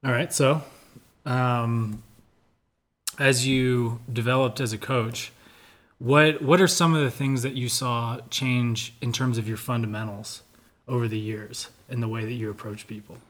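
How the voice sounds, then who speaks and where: A man's voice: medium pitch, steady tone, a little slow. A man hosting a casual interview podcast, joking easily and using many fillers. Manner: easygoing, joking, filler-heavy. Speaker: podcast host.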